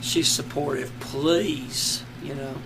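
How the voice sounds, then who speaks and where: A hoarse man lying on the floor at home, talking slowly and despairingly in halting, trailing sentences. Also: mid-range voice, fairly even intonation, background noise. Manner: slow, halting, despairing, many trailing restarts. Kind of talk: casual personal vlog.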